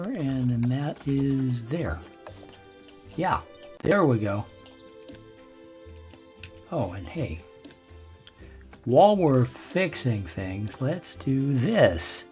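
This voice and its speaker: An older man with a naturally low voice talks slowly through his building work, musing half to himself. The tone is mellow and dark, with soft game music in the background. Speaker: gameplay video host. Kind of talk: relaxed let's-play commentary.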